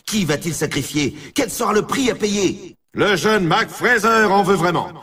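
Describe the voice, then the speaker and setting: An excitable video game sports announcer, pitched fairly high and very animated. He delivers scripted commentary with theatrical grandeur, hyping the competition in sweeping rises and falls. The voice has a husky rasp to it. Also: normal speed, recorded from a distance, full character voice. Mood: excited, intrigued. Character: excitable, grandiose male snowboard championship announcer.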